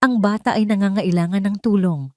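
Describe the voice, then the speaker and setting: A woman's voice: mid-range voice, brisk, no echo, studio quality, pitched above the performer's own voice, lightly acted. A woman voicing a troubled, devoted man, a doctor, speaking in a subdued and sincere manner. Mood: earnest.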